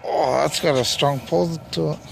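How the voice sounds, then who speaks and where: A man's voice: on the high side, steady tone, normal speed, gravelly. A man, chatting casually and informally as he films an outing outdoors. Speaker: vlogger filming a night magnet fishing outing. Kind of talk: casual narration and chat while filming. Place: outdoors on a bridge walkway at night. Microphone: handheld camera or phone held by speaker. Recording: noisy outdoor recording with ambient hum.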